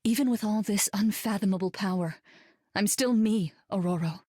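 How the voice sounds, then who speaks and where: A woman playing a gentle psychic heroine. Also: mid-range voice, normal speed, no room sound, clean studio sound, close to an everyday voice. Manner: gentle, earnest.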